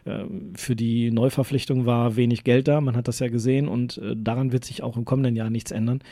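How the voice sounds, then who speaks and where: Westphalian-born male co-host, mellow and dry-sounding, hesitant and rambling. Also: mid-range voice, little pitch movement, brisk, dry recording. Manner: rambling, hesitant, frequent tag questions. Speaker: podcast co-host. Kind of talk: casual chat.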